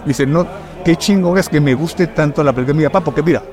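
A middle-aged film director from Puerto Rico with a mixed Caribbean and Mexican Spanish accent, chatting casually and telling stories with lots of fillers. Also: male voice, on the high side, quick pace, crowd noise. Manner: chatty, storytelling, fillers like "este". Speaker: film director, podcast guest. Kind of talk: casual conversation.

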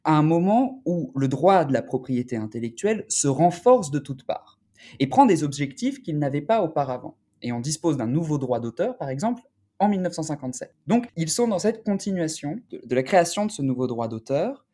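A man speaking as an academic researcher in an interview, explaining in a deliberate, halting, scholarly way. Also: a little high, sing-song, mellow.

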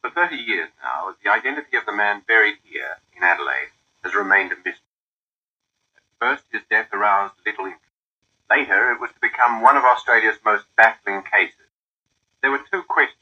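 Archival documentary narrator, low husky bright voice, muffled old broadcast recording. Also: male voice, big pitch swings, normal speed, long pauses. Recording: dull old broadcast audio.

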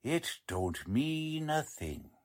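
A woman playing a plain-spoken male lawman in an old-time radio drama, with slangy, folksy, drawn-out speech. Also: low voice, very animated, exaggerated voice. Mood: gruff, resigned.

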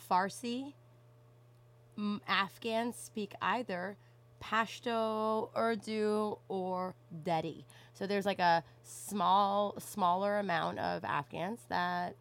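A young woman from Canada in casual conversation, telling stories in an animated way and often saying "like". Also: mid-range voice. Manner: animated storytelling, frequent "like".

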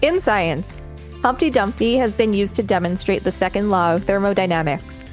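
A woman's voice: medium pitch, moderate pace, soft background music. A woman co-hosting a podcast, reading an encyclopedia article aloud with casual commentary. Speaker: podcast co-host.

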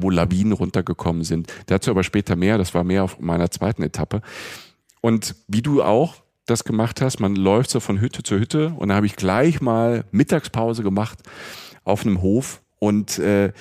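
A man's voice: fairly low pitch, darkish tone, no room sound, clean studio sound. A man from the Palatinate co-hosting a podcast, telling a rambling, chatty travel story with many fillers. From Palatinate, Germany. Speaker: podcast co-host. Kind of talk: casual travel chat.

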